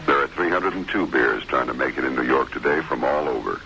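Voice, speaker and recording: A tough-talking American pitchman in a 1960s radio ad. His voice is extremely deep and gravelly, on a noisy old recording. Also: a little fast. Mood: assured. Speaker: commercial announcer.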